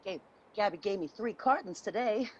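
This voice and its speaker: A woman's voice: mid-range voice, expressive, moderate pace, slightly rough. An actress playing a streetwise, chatty homeless woman in a dramatic reading, heard on dull, muffled audio. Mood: reassuring, casual. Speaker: actor.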